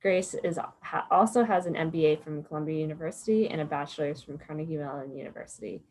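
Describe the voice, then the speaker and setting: A woman moderator introducing a speaker in a friendly, slightly halting way. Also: low-pitched, a little mellow, low-quality recording. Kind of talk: event introduction.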